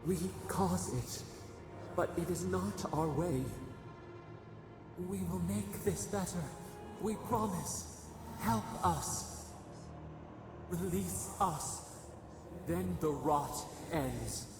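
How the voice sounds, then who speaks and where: An ancient captive spirit in a video game, speaking very slowly in solemn, cryptic phrases. The voice creaks and hangs in long, cavernous reverb over prominent music. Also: medium pitch. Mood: solemn, pleading. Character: imprisoned ancient hive spirit pleading in plural voice, solemn. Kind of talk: video game cutscene acting.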